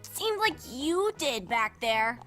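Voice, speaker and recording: Woman as plucky little storybook filly, earnest and animated, bright piping voice over faint music. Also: high voice, slow, heavily acted. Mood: cheering up. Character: young cartoon fillies, plucky and matter-of-fact. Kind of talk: fan fiction audio reading, cartoon voice.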